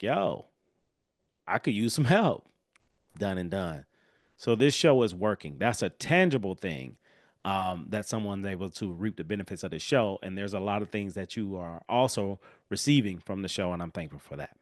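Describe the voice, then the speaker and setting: A man's voice: on the low side, no echo, studio quality. Stuffy-nosed man hosting a podcast. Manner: casual, animated, chatty with asides. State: congested, nasal from a cold. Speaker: podcast host. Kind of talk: solo podcast talk.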